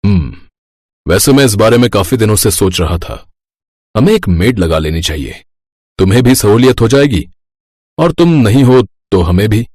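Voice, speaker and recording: A man voicing a busy young husband in an animated story, casual, evasive and a little hesitant. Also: on the low side, normal speed, pauses often, dry recording, clean studio sound. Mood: reasonable. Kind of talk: animated moral-story cartoon voice acting.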